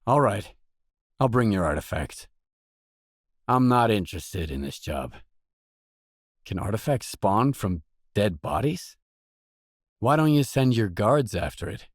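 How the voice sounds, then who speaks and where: A man's voice: fairly low pitch, big pitch swings, a little slow, long pauses, no echo, studio quality. A man playing a matter-of-fact mercenary stalker, the hero of a video game, speaking in terse, businesslike dialogue lines. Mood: matter-of-fact, guarded.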